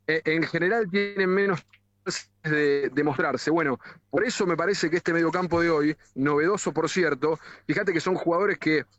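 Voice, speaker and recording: High-voiced Argentine male reporter, band-limited. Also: moderate pace, fairly bright tone, no echo. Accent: Argentine, Rioplatense. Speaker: roving radio sports reporter. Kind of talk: live match-day reporting and street interviews. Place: outdoors in the street outside a stadium. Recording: narrow band live radio link.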